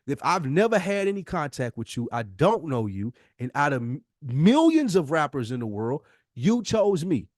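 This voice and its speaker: A higher-pitched American man argues his case in a casual, heated debate. He is emphatic and slangy, pressing each point like a lecture. The sound is dry and close. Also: very animated, moderate pace, no room sound. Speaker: podcast co-host.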